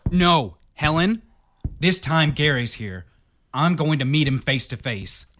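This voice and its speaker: An actor playing a haunted, frightened husband in an amateur radio horror drama. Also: male voice, fairly high pitch, animated, unhurried, a bit bright, no room sound, studio quality. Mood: agitated, resolute. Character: haunted, frightened husband stalked by a dead man.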